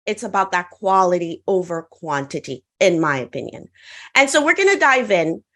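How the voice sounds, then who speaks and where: A woman's voice: on the low side, very animated, normal speed, a bit bright. A woman from the Caribbean whose first language is French, giving an online conference talk in an upbeat coaching manner and often asking the audience to answer in the chat. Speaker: conference speaker.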